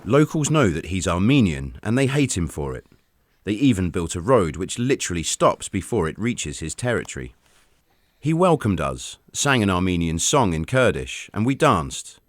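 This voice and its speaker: A man narrating a travel documentary in an earnest, measured storytelling manner, recorded as a voice-over. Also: deep voice, very animated, dry recording, studio quality. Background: faint background music.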